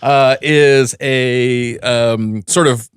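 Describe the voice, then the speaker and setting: A man co-hosting a podcast, talking in a relaxed way with many hesitant fillers. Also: mid-range voice, very slow, smooth, no echo, clean studio sound. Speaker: podcast co-host. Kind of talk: casual podcast conversation.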